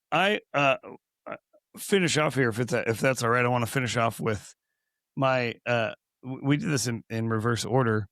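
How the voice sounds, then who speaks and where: Hoarse, gravelly male podcast co-host. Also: mid-range voice, fairly bright tone, no room sound, clean studio sound. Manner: rambling, with many restarts. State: hoarse, recovering from lost voice. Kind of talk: casual podcast chat.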